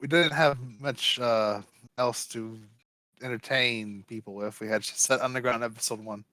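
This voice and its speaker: Man guest, jokey and halting, bright voice, dry close sound. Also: mid-range voice, steady tone, no echo, rough recording. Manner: joking, halting, with restarts and laughs. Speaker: guest watching for the first time. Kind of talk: casual chat. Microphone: home mic over a rough internet connection.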